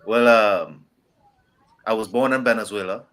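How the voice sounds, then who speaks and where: A man's voice: lowish voice, unhurried, fairly bright tone. A middle-aged man with a Trinidadian accent, talking casually as a musician guest in an interview. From Trinidad and Tobago.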